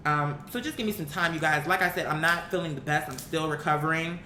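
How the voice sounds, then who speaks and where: A woman's voice: quick pace, bright, forceful tone. Blunt American woman in an echoey room. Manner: blunt, emphatic, slangy, with rhetorical questions and acted-out quotes. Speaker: vlogger, commentary host. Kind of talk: opinionated casual commentary to viewers. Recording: roomy echo with faint rumbling noise.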